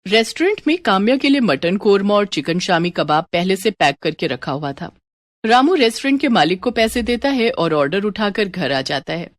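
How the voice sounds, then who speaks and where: A woman's voice: medium pitch, no room sound. Dry-sounding woman narrating a story.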